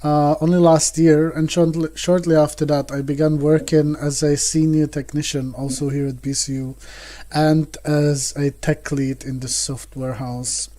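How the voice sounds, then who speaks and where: A man introduces himself on a video-call panel. His delivery is flat and even. His tone is mellow and relaxed. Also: a little high, measured pace. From Czech Republic. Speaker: PhD student and technician.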